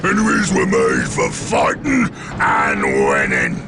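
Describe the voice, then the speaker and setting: An actor playing a huge, brutish orc gang boss in a video game, with a guttural voice and crude, bullying grammar. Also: male voice, extremely low pitch, wildly swooping pitch, slow, heavily acted. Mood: belligerent. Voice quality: gravelly, guttural. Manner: crude cockney-style broken grammar, barking orders. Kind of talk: video game cutscene acting. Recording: loud music behind.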